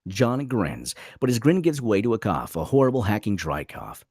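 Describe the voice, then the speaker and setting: A man's voice: lowish voice, wildly swooping pitch, normal speed, a little mellow, breathy edge, no room sound, clean studio sound. A man narrating a screenplay dramatically at a table read.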